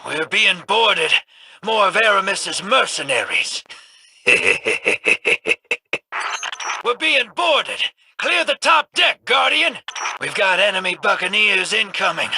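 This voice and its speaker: An actor playing a cocky, roguish smuggler who talks in a slangy drawl in a video game. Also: male voice, sits high, big pitch swings, a little slow, vocal fry, bright, forceful tone, breathy edge, full character voice. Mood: urgent. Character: scrappy roguish male smuggler, cocky and wry.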